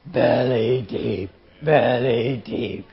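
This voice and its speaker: A big daddy frog from a children's storybook, croaks in a very low, husky voice. The delivery is extremely slow and chant-like. The recording is a little dull. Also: female voice, full character voice. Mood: croaking. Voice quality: gravelly, croaking.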